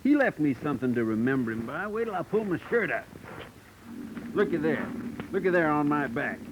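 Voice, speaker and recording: Through a noisy old radio recording comes a tough cowboy trapper. He talks in a plainspoken Western drawl full of rustic slang, pitched a little high, in 1940s radio drama style. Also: sing-song, a little fast, dull audio. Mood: gruff, folksy. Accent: American cowboy drawl, Western. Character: folksy, tough cowboy big-game trapper, male.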